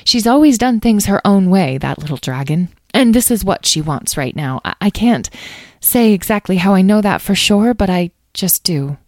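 A woman's voice: medium pitch, expressive, normal speed, dry recording, clean studio sound, voice pushed up, lightly acted. Woman as a hesitant, bookish dragon expert. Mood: wistful. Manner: plain-spoken, hesitant. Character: bookish, unsure female dragon expert, self-doubting.

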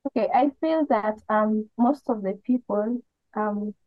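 A woman's voice: mid-range voice, a little slow, no echo. A woman with a Kenyan accent, a guest answering interview questions thoughtfully, with frequent "um". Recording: tinny phone-like call line.

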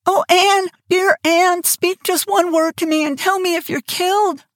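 A woman's voice: very high voice, fairly even intonation, unhurried, no echo, studio quality, voice pushed up. Woman doing a shrieking frantic young girl. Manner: shrieking, desperate pleading.

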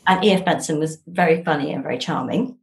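A woman chatting casually as an interview guest, telling anecdotes in an animated way. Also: sits low, fast talker. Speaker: interviewed novelist. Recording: echoey, roomy sound.

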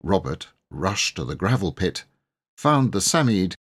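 Husky, low-voiced male storyteller. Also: very animated, a little slow. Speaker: audiobook narrator. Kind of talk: scripted children's story narration.

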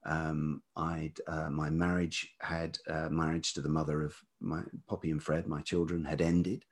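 Low-voiced, husky older man, thoughtful. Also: level tone. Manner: hesitant, halting, thoughtful. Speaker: podcast guest, writer and musician. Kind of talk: reflective personal storytelling in an interview.

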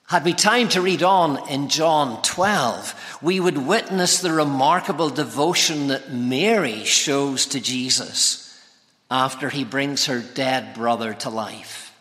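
A man preaching an expository sermon, speaking with emphatic, deliberate phrasing. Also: mid-range voice, a bit bright. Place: large reverberant church or hall. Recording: hall reverb.

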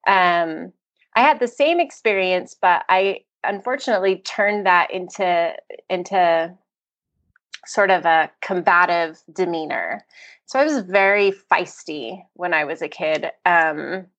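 A woman's voice: lowish voice, measured pace, fairly bright tone, muffled recording. A young adult American woman hosting a book podcast, chatty and effusive as she interviews a guest. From United States. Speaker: podcast host. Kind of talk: casual interview chat.

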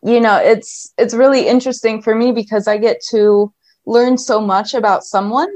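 A woman's voice: fairly high pitch, steady tone, normal speed, a little mellow, no room sound. A woman being interviewed about her work. She explains in articulate, flowing lists. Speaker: guest, language business owner. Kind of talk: conversational interview.

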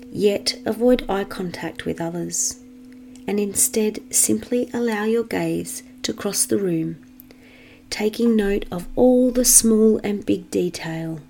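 A woman leading a guided meditation for children in a gentle, measured manner with long pauses. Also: mid-range voice, creaky, background noise.

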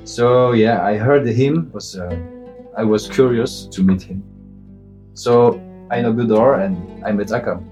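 A man's voice: mid-range voice, measured pace, a little mellow, soft background music. A man with a French accent telling a story casually in an interview, in hesitant, piecemeal non-native English. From south of France, near Marseille. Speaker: musician interviewee, jazz violinist.

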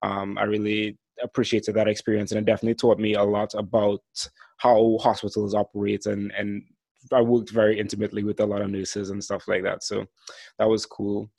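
A man's voice: lowish voice, darkish tone, no room sound. A man in his twenties from Trinidad and Tobago, hosting a solo podcast and telling his own career story in an earnest, reflective way. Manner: some lines read from a script. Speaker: podcast host.

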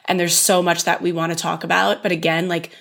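A young woman co-hosting a chatty podcast, her voice hoarse and worn from losing it. She talks with eager enthusiasm in a slightly low register, tagging her points with "right?". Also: level tone, brisk, a bit bright. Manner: enthusiastic, says "right?" a lot. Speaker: podcast co-host. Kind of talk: casual chat.

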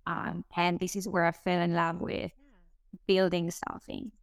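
A woman's voice: on the low side, flat delivery, creaky, no echo. A woman from Israel with an Israeli accent, answering interview questions as a startup co-founder, with frequent "um" fillers. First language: Hebrew. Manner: fluent but halting, many "um" fillers.

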